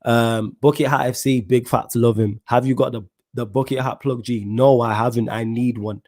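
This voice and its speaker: A man's voice: mid-range voice, normal speed. Slangy man hosting a live stream. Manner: animated, heavy slang, keeps saying "you know what I'm saying". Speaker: podcast host. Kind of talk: live-stream chat reading viewer comments.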